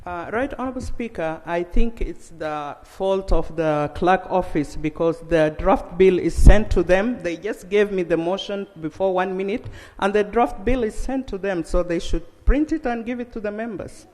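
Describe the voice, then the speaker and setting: High-voiced South Sudanese legislator in a hall. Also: male voice, a little mellow. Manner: measured, with frequent "uh" fillers. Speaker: member of parliament moving a motion. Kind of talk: formal reading of a motion, then plain remarks. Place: large legislative chamber. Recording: long reverb of a large chamber.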